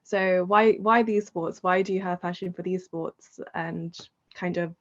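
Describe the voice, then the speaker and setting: A woman's voice: on the low side, steady tone, a little slow, highs cut off. A woman hosting a podcast, introducing her guest and asking loosely phrased questions in a friendly way. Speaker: podcast host. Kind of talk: interview hosting.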